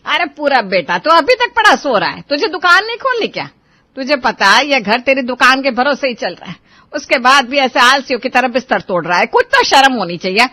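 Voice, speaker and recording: A woman voicing a nagging, sharp-tongued mother. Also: high voice, sing-song, fairly quick, pitched above the performer's own voice, full character voice. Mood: scolding. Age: middle-aged. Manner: scolding, sarcastic.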